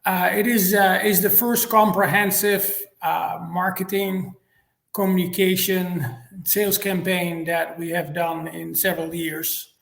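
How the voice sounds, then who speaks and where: A middle-aged man from the Netherlands with a Dutch accent, a native Dutch speaker, explaining things in a measured, structured way as an interview guest, recorded in an echoey room. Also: sits high, flat delivery, gravelly, fairly bright tone. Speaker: interview guest, marketing executive.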